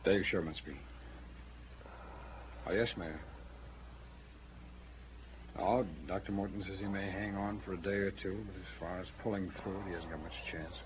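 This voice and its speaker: An American actor playing an earnest, frustrated city attorney in a troubled, dogged manner, in 1950s radio drama style. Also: male voice, sits low, steady tone, moderate pace, pauses often, natural voice. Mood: subdued, respectful. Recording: muffled, noisy vintage radio recording.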